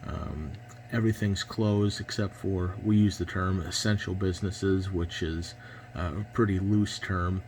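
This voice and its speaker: A man's voice: sits low, steady tone, unhurried, darkish tone, a little hiss or hum. A man in his twenties with an American accent, talking casually over ham radio in a hesitant, polite manner. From United States. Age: 20s. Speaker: amateur radio operator.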